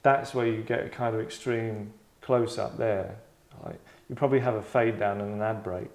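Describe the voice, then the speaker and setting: A man's voice: lowish voice, steady tone, moderate pace. A man acting as host and director, reading stage directions and discussing the script informally, often repeating phrases for emphasis. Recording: echoey room.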